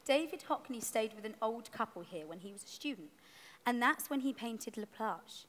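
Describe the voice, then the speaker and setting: A woman's voice: a little high, animated, moderate pace, a bit bright. A woman playing a fussy, defensive male gallery curator in a dramatised story reading, explaining things at length. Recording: echoey hall, picked up in the room.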